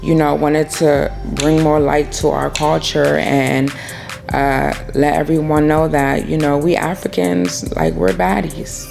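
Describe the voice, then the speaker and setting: A woman in her thirties speaks slowly in a deep, gravelly voice. She talks in a hesitant, laid-back way, as in a reality show confessional. Faint music plays behind her. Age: 30s. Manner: relaxed, hesitant, with fillers. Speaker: reality show cast member. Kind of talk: confessional interview.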